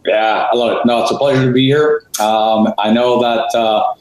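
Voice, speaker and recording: A man's voice: mid-range voice, fairly even intonation, moderate pace, darkish tone. A man from Canada, co-hosting as a real estate advisor, talking at length with many fillers and rhetorical questions. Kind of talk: conversational interview. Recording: very echoey room.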